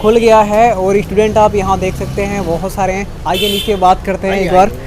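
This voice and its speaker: A man with a fairly high voice hosts a street interview vlog, chatting to his viewers and firing off casual questions. He talks in a conversational, easygoing way. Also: flat delivery, background noise. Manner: conversational, asks quick questions, addresses viewers as friends. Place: city street outdoors.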